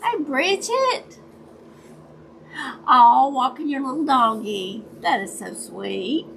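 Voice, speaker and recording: Raspy, slow woman. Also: a little high, a bit bright, slight background noise, low-quality recording. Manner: chatty, greets viewers by name. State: raspy voice, dry throat. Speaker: crafting livestream host. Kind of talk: casual chat while crafting.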